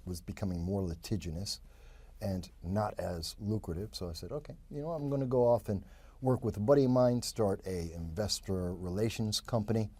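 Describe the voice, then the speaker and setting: A man's voice: on the low side, normal speed, warm tone, breathy edge, no echo. A man, chatting as an interview guest and rambling with many fillers and restarts. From the Bronx, New York. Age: 50s. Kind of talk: casual interview talk.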